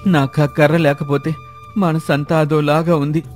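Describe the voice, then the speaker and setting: A man's voice: on the high side, flat delivery, measured pace, darkish tone, soft background music, natural voice. A man playing an anxious, pleading villager with a plaintive manner in a moral story. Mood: troubled, wistful. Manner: plaintive, faltering when cornered. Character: anxious, pleading villager lying about his stolen walking stick. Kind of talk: acted dialogue in a moral story.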